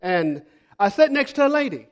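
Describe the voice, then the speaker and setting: A man preaching a sermon as a missionary, telling folksy travel stories, repeating phrases for emphasis and swinging from loud to hushed. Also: high-pitched, very animated, normal speed. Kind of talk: sermon with storytelling.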